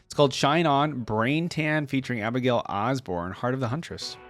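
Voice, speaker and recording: A man's voice: medium pitch, moderate pace. A man giving casual gaming reaction commentary, rambling and easily impressed as he thinks aloud. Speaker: reaction video host. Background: faint music.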